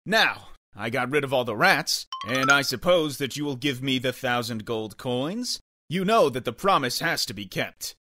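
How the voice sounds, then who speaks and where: A man's voice: medium pitch, animated, moderate pace, music in the background, lightly acted. A man playing a confident young piper in a children's story, stern, wronged and admonishing. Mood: firm.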